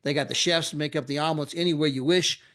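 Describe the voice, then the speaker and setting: Middle-aged American man, lively promoter, rapid-fire destination lists, slightly high voice. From USA. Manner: animated, repetitive, chuckling asides. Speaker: travel club promoter. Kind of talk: enthusiastic sales pitch.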